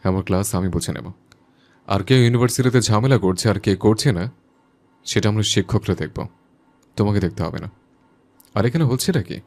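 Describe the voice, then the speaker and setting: Man acting a deep-voiced, stern male professor. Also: normal speed, pauses often, darkish tone, natural voice. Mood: stern, annoyed. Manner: commanding, curt scolding. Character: stern, hot-tempered male university economics teacher. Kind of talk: dramatised audio story dialogue.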